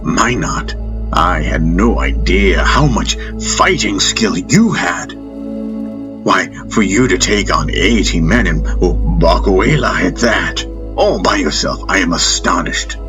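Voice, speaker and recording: A man voicing a teasing, wise old warrior master in an audiobook, with a playful, mock-modest and deliberate way of talking, over background music. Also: deep voice, lively intonation. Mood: mock-admiring teasing.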